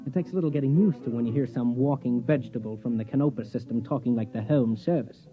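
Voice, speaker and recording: A man's voice: medium pitch, fast, soft background music, lightly acted. A man playing a put-upon, grumbling space explorer, wry and plain-spoken, in 1950s radio drama style. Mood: wry narrating. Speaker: narrator and lead character. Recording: dull vintage radio recording.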